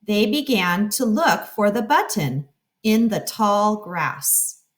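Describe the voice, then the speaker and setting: Animated woman reading a children's story slowly. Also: medium pitch. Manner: expressive, explanatory, addressing children.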